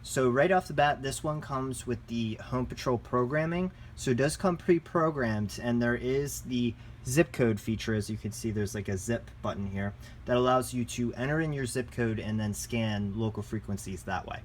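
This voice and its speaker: A man's voice: mid-range voice, normal speed, slight background noise. A man giving a casual, unscripted product review as a shop presenter, in a conversational, enthusiast way.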